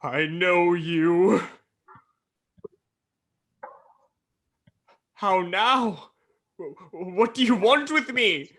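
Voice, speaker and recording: A man's voice: sits high, takes it slowly, a bit bright, full character voice. A man playing a stubborn, easily frightened old miser in a radio play, with a theatrical, jittery manner. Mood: frightened, pleading.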